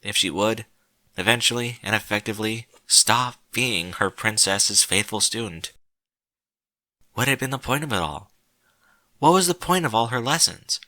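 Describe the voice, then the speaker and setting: A man narrating a story in a slow, solemn, dramatic way with deliberate pauses. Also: lowish voice, animated, pushed and bright, no echo.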